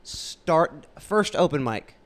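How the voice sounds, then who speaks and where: A man's voice: a little high, big pitch swings, slow. A man in his late twenties co-hosting a podcast, chatting in a rambling, laid-back way. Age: 20s. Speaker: podcast co-host. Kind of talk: casual podcast chat.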